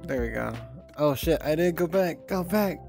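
A woman's voice: low-pitched, animated, normal speed, gravelly. A young woman streaming from home, chatting casually in a rambling, giggly way and swearing freely. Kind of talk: casual live-stream chat. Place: streaming at home, VR avatar on screen. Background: soft background music.

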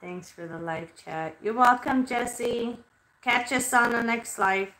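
A woman's voice: on the high side, slow, a bit bright, roomy sound, low-quality recording. A woman in her thirties chatting loosely with her livestream viewers from a small bedroom into a webcam, rambling and swearing freely. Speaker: livestreaming spiritual medium. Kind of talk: casual livestream chat. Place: small bedroom under red light. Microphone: webcam at desk distance.

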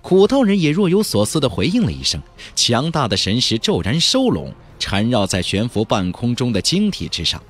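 A man's voice: mid-range voice, huge pitch swings, normal speed, hardly any pauses, slightly rough. A man narrating a fantasy audiobook in a dramatic, scripted style.

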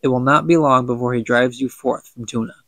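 A man's voice: medium pitch, fairly even intonation, a little fast, fairly bright tone, dry recording. A young man with a stuffy nose, reading a book aloud for a podcast and chatting about it, stumbling over words and laughing at his slips. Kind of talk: casual book reading with commentary.

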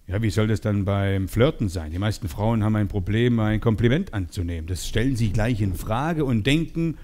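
A man in his forties working as a sales trainer, talking to seminar participants in an animated, teasing way and acting out bits of dialogue. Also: on the low side, moderate pace. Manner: animated, teasing, role-plays short dialogues. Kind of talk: lively seminar talk to participants. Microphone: headset.

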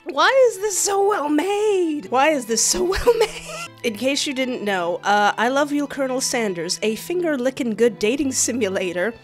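A woman hosting a gaming video in a playful, expressive, jokey and theatrical way, close on the mic. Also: high-pitched, normal speed, faint music behind. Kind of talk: playful let's-play commentary with read-aloud game text. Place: small home room. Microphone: close microphone on boom arm.

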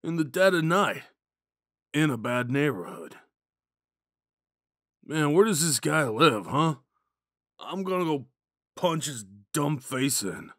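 Man acting a slow-talking drunk himbo jock. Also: a little high, sing-song, a bit bright, dry recording, clean studio sound, heavily acted. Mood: protective, goofy. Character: drunk, dim, sweet young male college jock. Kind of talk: ASMR audio roleplay.

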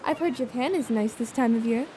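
Woman acting a smug, high-voiced lady genie. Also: a little fast, warm tone, a little hiss or hum, natural voice, low-quality recording. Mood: serene, teasing. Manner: poised, teasing, formal. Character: smug, sly female genie, calm and worldly. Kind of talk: audio theater acting.

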